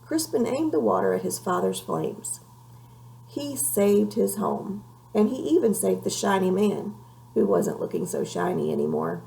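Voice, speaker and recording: A woman reading a children's story aloud in an expressive, measured way, with a creaky voice. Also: mid-range voice, poor audio. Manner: measured, animated read-aloud delivery. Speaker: storybook reader.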